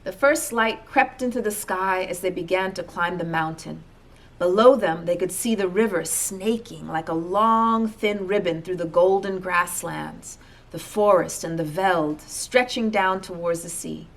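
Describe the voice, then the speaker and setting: A woman reading a children's storybook aloud as an expressive narrator. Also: on the low side, unhurried, creaky. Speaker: storybook reader.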